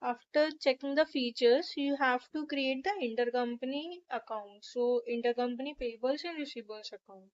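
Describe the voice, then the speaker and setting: High-voiced woman, dull lo-fi recording. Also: normal speed, few pauses. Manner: methodical, instructional. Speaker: software tutorial presenter. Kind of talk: step-by-step screen tutorial narration.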